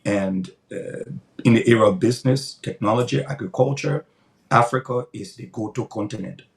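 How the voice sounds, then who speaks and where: A man's voice: mid-range voice, moderate pace, creaky. An older man, a pastor giving earnest, emphatic interview answers with a preaching cadence, often repeating words as he builds his points.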